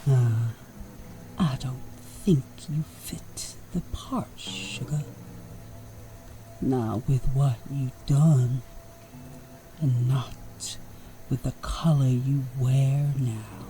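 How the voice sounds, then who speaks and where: Man voicing a very slow, smug snake outlaw. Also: medium pitch, dark tone, slight background noise, rough recording. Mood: mocking, menacing. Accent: American Southern drawl, Western style. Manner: slow, taunting, mock-sweet. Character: menacing, smug snake-like Western outlaw villain. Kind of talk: Western audio roleplay acting.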